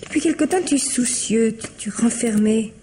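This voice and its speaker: A woman's voice: on the high side, moderate pace, warm tone, close to an everyday voice. Anxious wife played by a woman for French radio drama: raised register, probing, reproachful delivery, slightly noisy old recording. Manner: insistent, questioning.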